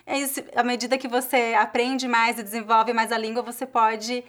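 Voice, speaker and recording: A woman host who teaches languages, speaking in a fairly high voice. She sounds friendly and encouraging, often checking in with the listener as she talks to her guest and to her viewers. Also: flat delivery, moderate pace, fairly bright tone. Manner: friendly and encouraging, with frequent "né?" tags. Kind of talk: interviewing and closing a video.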